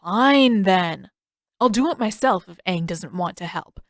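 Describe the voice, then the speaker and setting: A woman's voice: medium pitch, animated, measured pace, no echo, studio quality. A woman voicing a stubborn, sarcastic teenage boy in an audiobook, emphatic and mocking. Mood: insistent.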